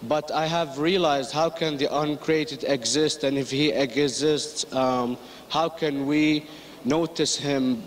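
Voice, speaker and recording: A man's voice: on the high side, fairly even intonation, takes it slowly, a little hiss or hum. A man from Iraq asking a question into a handheld mic at a lecture, hesitant and rambling. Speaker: audience member asking a question. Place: large lecture hall.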